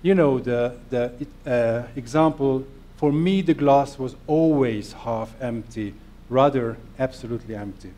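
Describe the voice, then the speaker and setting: A middle-aged man from Hungary with a Hungarian accent, giving an earnest, self-deprecating conference presentation in halting non-native phrasing. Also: mid-range voice, dark tone. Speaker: conference speaker.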